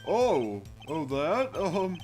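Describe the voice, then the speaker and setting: A man's voice: on the high side, sing-song, very slow, a little mellow, soft background music, heavily acted. A man in an amateur game fandub playing a pompous, slippery witness with affected, overly formal diction who gets flustered when cornered.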